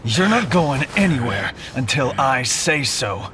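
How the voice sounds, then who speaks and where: An actor playing a suspicious, self-righteous police detective, confrontational and needling, in a science-fiction audio drama. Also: male voice, mid-range voice, big pitch swings, measured pace, music in the background. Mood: threatening, commanding. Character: pushy, zealous male street cop, suspicious detective.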